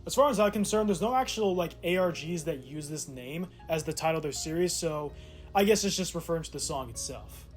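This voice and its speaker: Male video narrator, higher-pitched voice, irreverent and sarcastic, casual scripted delivery with loose asides, light music underneath. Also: animated, brisk. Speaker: video essay host.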